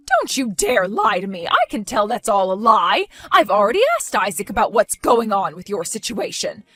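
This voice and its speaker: A woman's voice: medium pitch, sing-song, normal speed, bright, forceful tone, dry recording, soft background music. A woman playing a furious scolding older woman. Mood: indignant. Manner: accusing, scolding. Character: furious indignant older woman caring for a baby.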